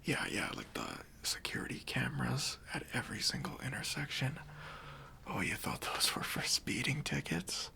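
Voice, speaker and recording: A man playing a kindly, reassuring bank robber in a soft, breathy ASMR roleplay, speaking gently and casually to an accomplice. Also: medium pitch, lively intonation, normal speed. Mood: soothing, reassuring. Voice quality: breathy, near-whispered.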